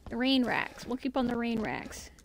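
A woman's voice: a little high, very animated, normal speed, vocal fry, slight background noise. A woman giving casual gameplay commentary on a horror game, playfully thinking aloud as she goes. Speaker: gaming video host playing a horror game.

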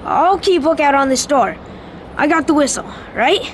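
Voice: sits high, normal speed, a little hiss or hum, close to an everyday voice. An actor playing a cautious, reluctant young companion in an audio drama, nervous and terse. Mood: wary.

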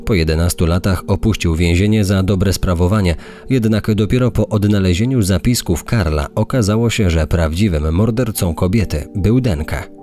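A man's voice: deep voice, normal speed, dark tone, soft background music. A man reading scripted true-crime narration as a narrator.

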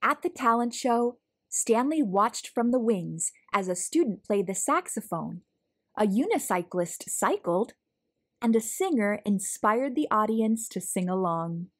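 A woman reading a children's story aloud with expressive, deliberate phrasing. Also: mid-range voice. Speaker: storybook reader, host. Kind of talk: children's read-aloud narration.

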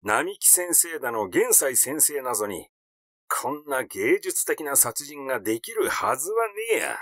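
A man voicing a flippant, cynical amateur sleuth in a story reading, rough and gleefully boastful in his talk. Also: medium pitch, big pitch swings, measured pace, a bit bright, no room sound, studio quality. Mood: gleeful, scornful.

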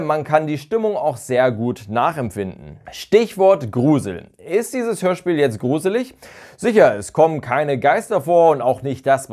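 A man's voice: fairly high pitch, a little mellow. A man in his thirties narrating a semi-scripted review as an online reviewer, recorded indoors on a lapel mic. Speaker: YouTube reviewer of audio dramas. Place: small furnished living room or den indoors.

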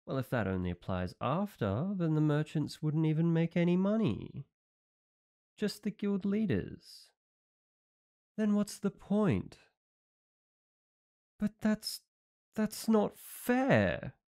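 A man's voice: fairly high pitch, big pitch swings, takes it slowly, long pauses, dark tone, heavily acted. A man playing a sweet himbo knight. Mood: outraged. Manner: earnest, naive, puzzled. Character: sweet dim-witted young knight champion, loyal. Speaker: voice actor. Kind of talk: audio roleplay monologue.

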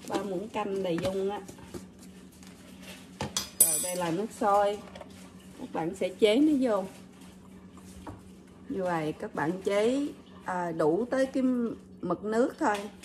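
A woman's voice: mid-range voice, slow, pauses often, a little mellow, slight background noise. Chatty Southern Vietnamese woman cooking at home. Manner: chatty, addresses viewers directly, step-by-step. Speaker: cooking vlogger. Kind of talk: casual kitchen demonstration. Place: home kitchen, indoors. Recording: kitchen clatter of dishes and utensils.

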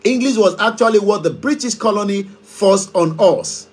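A man's voice: high-pitched, normal speed. A man in his forties with a Yoruba Nigerian accent, hosting call-in radio and reading listener comments in an emphatic, questioning way. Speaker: radio host. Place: studio room before green screen.

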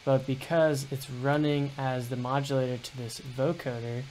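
A man's voice: level tone, moderate pace, a little mellow, slight background noise, poor audio. A young man, a music producer, giving a laid-back, casual screen-share tutorial. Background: synth bass sounds.

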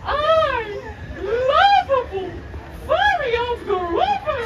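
A woman voicing a lovable, furry storybook monster in a melodramatic, drawn-out way. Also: very high-pitched, sing-song, heavily acted. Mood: sheepish, relieved. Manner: melodramatic, drawn-out pleading and exclaiming. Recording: distant, echoey outdoor crowd, loud noise.

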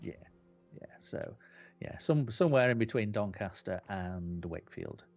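A man's voice: fairly low pitch, animated, normal speed, creaky, darkish tone, muffled recording, faint music behind. A man from West Yorkshire with a Yorkshire accent, hosting a casual game webcast in a chatty, rambling way with lots of fillers. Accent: Yorkshire English. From West Yorkshire, England. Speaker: podcast host. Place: home room lit red.

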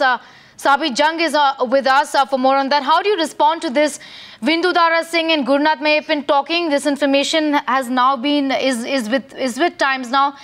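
Indian lady anchor doing breaking news, really high voice. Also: fairly bright tone. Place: TV news studio.

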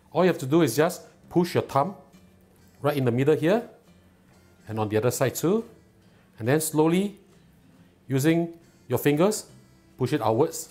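A middle-aged man hosting a cooking show, explaining each step calmly and patiently in a home kitchen. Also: medium pitch. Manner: calm, patient and instructive, enthusiastic when tasting.

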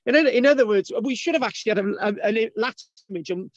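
A man's voice: high voice, fast, dry recording. A man hosting a casual video-call discussion, rambling with restarts and asking "right?" often. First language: English. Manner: rambling, many restarts and fillers, asks "right?". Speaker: host. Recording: dull lo-fi video call audio.

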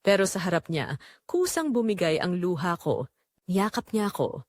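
A woman reading a scripted first-person dramatic story in an emotive, measured storytelling manner. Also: fairly low pitch, big pitch swings, no echo, studio quality. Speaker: narrator.

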